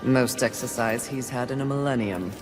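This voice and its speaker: A woman's voice: a bass voice, normal speed, loud music behind the voice. An actress voicing a proud, combative female horseman of the apocalypse in a video game, scornful and commanding. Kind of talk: video game heroine acting.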